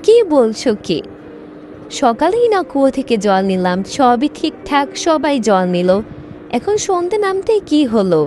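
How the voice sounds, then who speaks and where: Casting: actress for cartoon village mother, high-pitched and animated, sharp-tongued, fretful, emphatic. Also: a little slow, slight background noise. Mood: surprised, worried. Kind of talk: cartoon voice acting.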